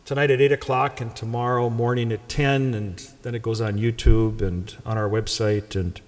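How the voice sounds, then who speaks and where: Older male pastor, Upper Midwest American accent, wry and chatty at a lectern mic, mid-pitched, long church reverb. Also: fairly even intonation, moderate pace. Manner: wry, conversational, joking. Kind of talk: Gospel reading and pastor's welcome remarks. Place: large church sanctuary. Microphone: lectern microphone.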